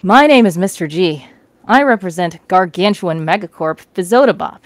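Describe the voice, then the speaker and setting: A woman's voice: on the low side, animated, normal speed, dry recording, studio quality, natural voice. A woman voicing a defiant male spokesman for a huge corporation in a story.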